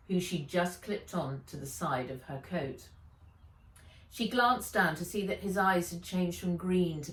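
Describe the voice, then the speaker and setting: A woman narrates a children's adventure book with measured expression. Her voice is fairly low and very unhurried. It sounds echoey, a little away from the microphone. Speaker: author reading own book aloud. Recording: echoey room, distant from mic.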